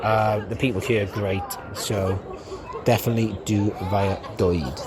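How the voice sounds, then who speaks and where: A man's voice: fairly low pitch, fairly even intonation, normal speed, crowd noise. A young man, a podcast host, chatting casually in a rambling way with lots of ums while walking outdoors through a city. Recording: outdoor street noise. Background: street and traffic noise.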